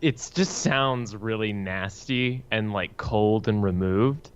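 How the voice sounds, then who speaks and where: A man's voice: lowish voice, slow pace, clear, resonant tone. A man chatting casually as a podcast co-host. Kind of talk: casual chat. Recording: dull lo-fi sound, music behind.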